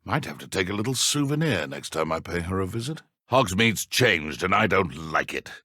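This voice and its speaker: A man playing a cruel, sneering British dark wizard poacher in a video game, taunting with mock-polite threats. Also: sits low, big pitch swings, normal speed, breathy edge, no echo, clean studio sound, full character voice. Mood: cold menace. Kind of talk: video game villain combat barks and idle lines.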